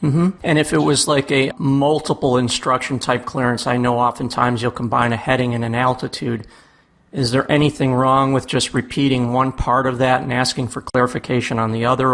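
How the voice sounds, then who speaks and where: Creaky-voiced man hosting an interview. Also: mid-range voice, fairly bright tone. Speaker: podcast host. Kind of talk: conversational interview on flying.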